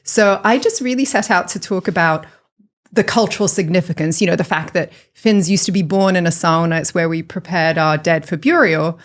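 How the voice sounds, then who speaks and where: Lower-voiced woman explaining thoughtfully. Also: a little fast. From grew up outside Finland, Finnish parents. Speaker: guest, writer and amateur sauna historian. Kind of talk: explanatory conversational storytelling.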